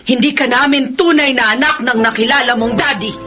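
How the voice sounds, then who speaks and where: A woman's voice: high-pitched, a little fast, lightly acted. Actress as a stern, scolding Filipino mother, music behind. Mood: stern, grave. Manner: scolding, emphatic, dramatic delivery. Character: stern, indignant older mother confronting her adult son. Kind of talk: Filipino radio drama acting.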